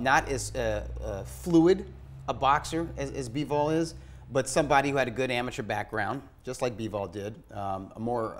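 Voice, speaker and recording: Male boxing analyst, mid-pitched voice, halting and analytical delivery in casual panel conversation. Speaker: boxing magazine editor, panelist.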